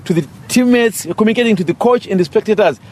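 A man's voice: high voice, quick pace, a little mellow. A man teaching a referee course, questioning trainees in an emphatic, instructive way. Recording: clear outdoor background noise.

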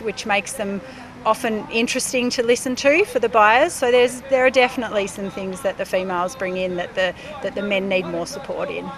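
A middle-aged woman, a speech pathologist, explaining her work in a measured way with fillers. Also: mid-range voice. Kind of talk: interview.

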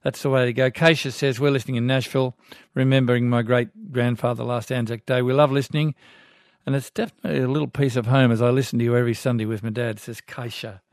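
A man's voice: mid-range voice, fairly even intonation, fast talker, no room sound, studio quality. An older Australian man hosting a talkback radio show in a chatty, folksy way, reading out listener emails. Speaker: radio host. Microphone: studio microphone.

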